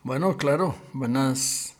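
An older man, sixties or above, telling his story as a pastor and interview guest in a measured, deliberate way with frequent pauses. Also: mid-range voice. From Colombia. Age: 60s or older.

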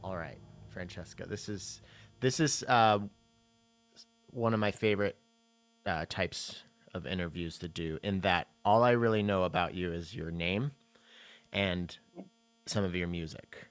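A man's voice: deep voice, measured pace, long pauses. A man in his thirties hosting a podcast, talking casually with many fillers and restarts, then interviewing a guest. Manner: rambling, filler-heavy, enthusiastic. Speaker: podcast host.

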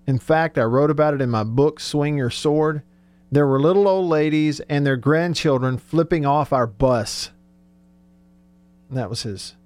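A man's voice: medium pitch, darkish tone. A man hosting sports talk radio in a casual, conversational, informal way.

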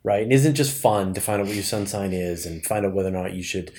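A man's voice: sits low, fast, a little mellow. A man speaking as a podcast host, asking questions in a casual, conversational way.

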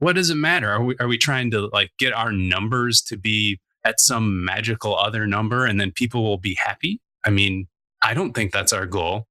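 Minnesota guy talking thoughtfully, lowish bright voice, dry close sound. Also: dry recording. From Minnesota, USA. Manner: reflective, thoughtful, some self-restarts. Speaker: panel guest, developer. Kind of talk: conversational panel talk.

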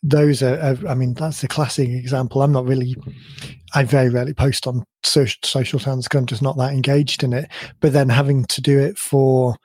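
A man co-hosting a podcast in casual chat, his voice a bit croaky, thinking aloud in long rambling sentences. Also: mid-range voice, little pitch movement, fairly quick, darkish tone, no echo. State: slightly croaky voice. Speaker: podcast co-host.

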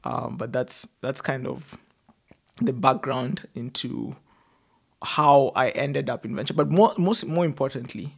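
A Kenyan man speaks with noticeable vocal fry at an ordinary male pitch. He talks as an interview guest, telling stories in a casual, slangy way and often acting out little quotes. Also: unhurried. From outskirts of Nairobi, Kenya. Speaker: interview guest, startup founder. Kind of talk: casual conversational storytelling.

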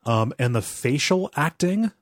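A man's voice: fairly high pitch, animated, a little slow, dry recording, studio quality. A man co-hosting a podcast, casually retelling and discussing a film, acting out paraphrased lines in conversation. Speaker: podcast co-host.

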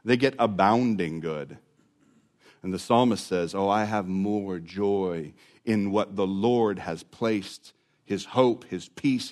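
A male pastor preaching a sermon, dropping to a near whisper and then rising again, stretching out key words. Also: on the low side. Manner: dramatic swings from loud to hushed, drawn-out words.

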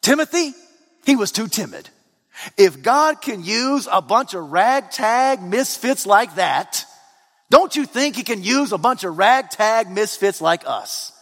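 A man preaching a sermon, swinging from loud excited outbursts to low hushed lines. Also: a little slow. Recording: long reverb of a large hall.

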